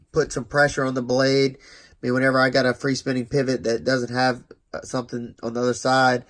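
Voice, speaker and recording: A man with a congested, nasal voice casually reviewing a knife in a vlog, rambling a bit and talking straight to his viewers. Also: medium pitch. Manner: rambling, loose, self-correcting, speaks to viewers directly.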